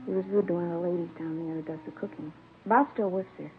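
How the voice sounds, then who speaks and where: A woman's voice: lowish voice, fairly even intonation, fast, faint music behind, natural voice. Woman playing teen girl on 1950s radio show, earnest n hesitant, tinny old recording. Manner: hesitant, innocent, trailing afterthoughts. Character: earnest, hesitant teenage high school girl. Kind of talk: 1950s radio drama acting. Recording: tinny, faintly noisy vintage radio recording.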